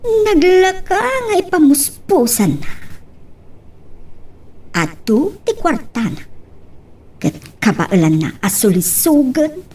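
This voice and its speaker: A woman with a husky voice playing a suspicious character in an Ilocano audio drama. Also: high-pitched, big pitch swings, slow pace, long pauses, a little mellow, full character voice. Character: suspicious woman mulling over a man's schemes. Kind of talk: Ilocano radio-style drama acting.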